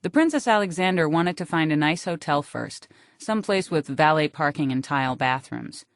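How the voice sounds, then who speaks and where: A woman with an American accent reads a literary first-person audiobook in a wry, deadpan manner, close on the microphone. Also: low voice, normal speed, dry recording. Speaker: audiobook narrator.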